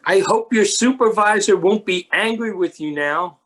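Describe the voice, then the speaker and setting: A performer playing a kindly, lonely, mild-mannered man in a readers theatre children's story, with a husky, hesitant delivery. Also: mid-range voice, moderate pace, fairly bright tone, natural voice. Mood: concerned.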